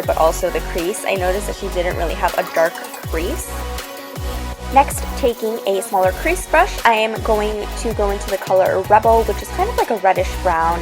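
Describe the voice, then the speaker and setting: A young woman in her twenties narrating a makeup tutorial in a relaxed, chatty way, slightly sniffly from a cold. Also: medium pitch. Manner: relaxed, chatty step-by-step explaining. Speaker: beauty vlogger. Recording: voice-over with loud background music. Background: music.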